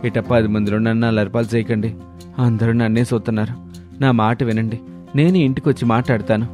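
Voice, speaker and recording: A man's voice: medium pitch, steady tone, a little fast, darkish tone, faint music behind, natural voice. A man voicing a humble street vendor in debt, apologetic and pleading, in a cartoon moral story. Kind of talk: dramatic Telugu moral story cartoon dialogue.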